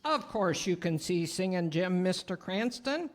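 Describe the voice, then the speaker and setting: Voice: mid-range voice, lively intonation, a little slow, noisy background, close to an everyday voice. A performer playing a matter-of-fact hospital doctor in old-time radio drama style. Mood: matter-of-fact, grave. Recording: echoey hall.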